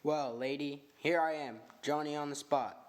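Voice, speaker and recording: A man's voice: on the high side, fairly even intonation, normal speed, close to an everyday voice. A man playing a greedy, tough-talking chauffeur and bodyguard in an old-time radio drama, speaking in slangy, drawling, ungrammatical street talk. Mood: wheeler-dealing.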